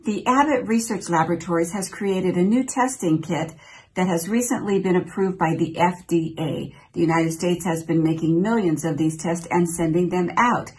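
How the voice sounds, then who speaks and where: A woman's voice: on the low side, moderate pace, a little mellow. An older woman presenting cruise news updates from her home office, in an upbeat, newsy manner, recorded at her desk. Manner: upbeat, newsy delivery reading from notes. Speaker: travel vlogger. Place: small home office, indoors.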